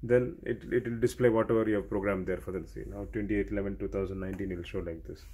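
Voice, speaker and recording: A man's voice: on the low side, fairly even intonation, fast, warm tone. A man giving hands-on technical training in a step-by-step, repetitive way, as a technician showing how equipment works. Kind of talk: hands-on instructional explanation.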